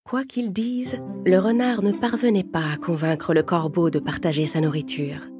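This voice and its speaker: Low-pitched woman narrating a fable. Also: fairly even intonation, moderate pace, music in the background. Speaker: storyteller. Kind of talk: scripted children's fable narration.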